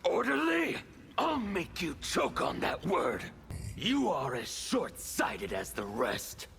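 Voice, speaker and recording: An actor playing a fanatical, bitter anarchist warlord in a video game, with a gravelly voice and a theatrical, sneering delivery. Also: male voice, a little high, wildly swooping pitch, takes it slowly, a bit bright, faint music behind, full character voice. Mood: menacing. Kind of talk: video game villain dialogue.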